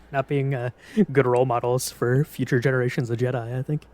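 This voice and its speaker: A man's voice: mid-range voice, flat delivery, a little fast, darkish tone. A man hosting a casual podcast discussion in a conversational way. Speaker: podcast host.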